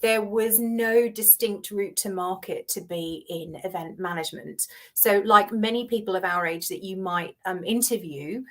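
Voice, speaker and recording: A woman in her fifties who grew up in Hong Kong, a guest on a video-call interview, telling her career story with animated acted-out dialogue. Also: medium pitch, normal speed, few pauses. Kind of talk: conversational interview.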